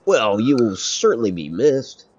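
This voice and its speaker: A man's voice: mid-range voice, big pitch swings, unhurried, a little mellow, muffled recording. A man streaming a game, chatting casually, rambling and laughing often. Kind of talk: casual gameplay chat.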